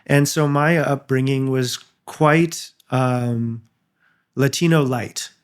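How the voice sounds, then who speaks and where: A man's voice: mid-range voice, fairly even intonation, slow pace, smooth. Hesitant young man, easygoing chat. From Canada. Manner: hesitant, many fillers and restarts. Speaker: guest. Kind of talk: casual interview talk.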